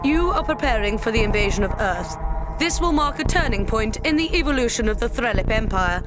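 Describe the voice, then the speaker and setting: A woman's voice: on the high side, expressive, a little fast, loud music behind the voice, lightly acted. An actress playing an exalted time-travelling noblewoman in an audio drama, aloof, imperious and formal, forever scolding an assistant. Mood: condescending.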